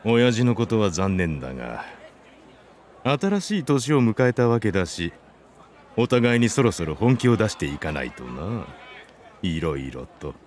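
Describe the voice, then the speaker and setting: Visual novel antagonist: polished, menacing man, low voice with swooping intonation. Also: big pitch swings, fairly quick, darkish tone, a faint crowd. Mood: smooth, veiled threat. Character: calm, dignified, courteous man in a suit, quietly menacing. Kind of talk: Japanese visual novel acting.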